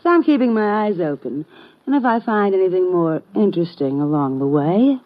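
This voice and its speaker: A woman's voice: fairly low pitch, animated, brisk, phone-quality sound, natural voice. Woman acting a breezy flirt with lilting delivery. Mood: breezy, flirtatious. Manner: slangy, wisecracking, coy. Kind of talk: 1950s radio drama acting. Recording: thin, band-limited old radio recording.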